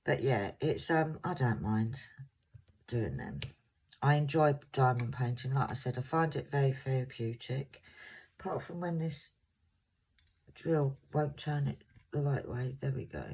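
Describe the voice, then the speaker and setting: A woman in her fifties, originally from Scotland, with a soft voice and a mixed English accent, chatting to viewers in a casual, rambling way and sometimes stumbling over words. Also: extremely low pitch, animated, a little slow, slight background noise. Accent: English, mixed southern. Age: 50s. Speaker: crafting vlogger.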